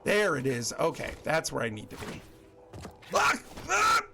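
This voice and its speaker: A man's voice: a little high, wildly swooping pitch, creaky, noisy background. Chatty fortysomething male streamer. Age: 40s. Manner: chatty, rambling, quick to laugh. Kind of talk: casual live-stream chat while gaming.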